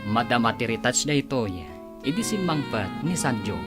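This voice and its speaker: A man's voice: medium pitch, sing-song, moderate pace, loud music behind the voice. A man with a Filipino accent narrating a scripted radio drama.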